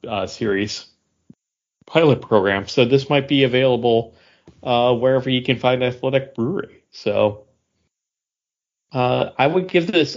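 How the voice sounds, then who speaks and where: A man co-hosting a podcast, talking casually in a hesitant, halting way. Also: medium pitch, dull audio. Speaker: podcast co-host. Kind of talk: casual drink review.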